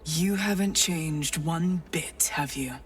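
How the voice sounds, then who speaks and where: A cold cult enforcer in a fantasy game. She is scornful and deliberate, with a deep voice heard a little distant over faint noise. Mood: contemptuous. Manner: haughty, menacing, deliberate. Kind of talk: video game voice acting.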